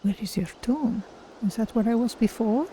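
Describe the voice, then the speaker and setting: A woman's voice: fairly high pitch, moderate pace, warm tone, a little hiss or hum, lightly acted. A woman voicing a female Khajiit cat-person adventurer in a game, musing to herself in short phrases. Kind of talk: in-character game stream commentary.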